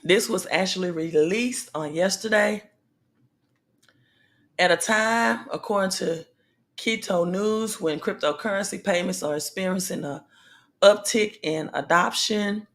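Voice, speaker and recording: Slow, slightly raspy woman livestreaming. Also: medium pitch. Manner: slow, halting delivery with many pauses and fillers; calls out to viewers directly. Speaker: livestream host. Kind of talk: casual livestream talk on money news.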